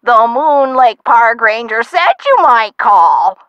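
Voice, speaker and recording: A woman playing a feisty, sharp-tongued elderly woman who tells theatrical stories of her youth in a video game. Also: a little high, sing-song, slow, dry recording, lo-fi sound. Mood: sassy. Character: feisty, sassy elderly woman, nostalgic for the twenties. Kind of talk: video game character acting.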